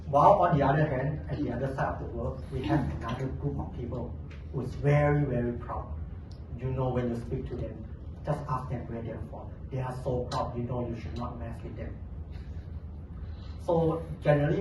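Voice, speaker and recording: A man from Thailand, giving a humorous contest speech with playful, deadpan storytelling. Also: mid-range voice, a little fast. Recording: echoey hall, distant mic, audience noise.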